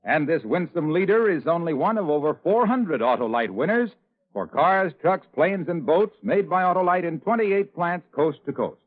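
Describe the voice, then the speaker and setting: A man's voice: fairly high pitch, animated, normal speed. A man working as a commercial announcer, reading in the style of 1950s radio. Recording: tinny old radio broadcast with music.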